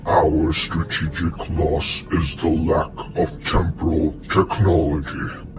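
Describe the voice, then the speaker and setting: Distant, very deep robotic Cyberman underling. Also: level tone, slow, music in the background. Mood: emotionless, obedient. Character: subservient Cyberman soldier strategist, emotionless and obedient. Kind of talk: sci-fi audio drama acting.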